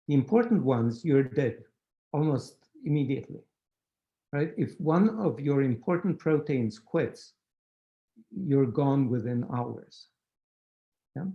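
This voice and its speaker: Mellow-voiced Romanian-born male lecturer. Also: on the high side. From Romania (Transylvania). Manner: explanatory, frequent "right?" checks with the audience. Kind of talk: scientific lecture.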